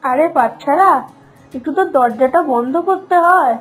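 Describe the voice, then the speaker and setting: A woman voicing a kind, doting mother-in-law in an animated fairy tale, gentle and reassuring. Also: high voice, moderate pace, soft background music, rough recording. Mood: indulgent.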